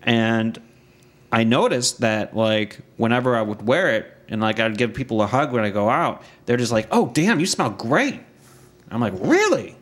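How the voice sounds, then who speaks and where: A man hosting a casual podcast, lively and jokey, his voice swinging well up in pitch when he gets excited. He laughs often and swears casually between points, keeping his pitch in an average male range. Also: moderate pace. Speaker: podcast host.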